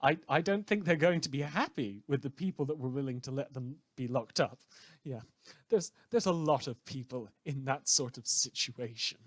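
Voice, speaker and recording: A man playing a bitter, unhinged radio host in a fan audio drama, rambling sarcastically with halting stammers. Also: medium pitch, dry recording, studio quality, lightly acted. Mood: bitter, sardonic. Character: bitter, unhinged male radio host, sardonic renegade cape.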